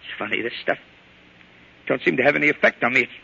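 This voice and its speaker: Jittery gangster henchman, gravelly and sharp. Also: medium pitch, quick pace, heavily acted. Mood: wretched, drunken. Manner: slangy, nervous, whining tough-guy talk. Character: jittery, hard-drinking, conscience-stricken male gangster henchman. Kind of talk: 1940s radio crime drama acting. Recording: muffled old radio recording.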